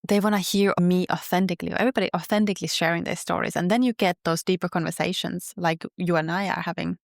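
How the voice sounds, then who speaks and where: A woman with a Finnish accent, a podcast guest speaking in an earnest, measured way. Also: fairly low pitch, steady tone, gravelly, dry recording, clean studio sound. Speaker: podcast guest, health coach. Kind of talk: reflective interview talk.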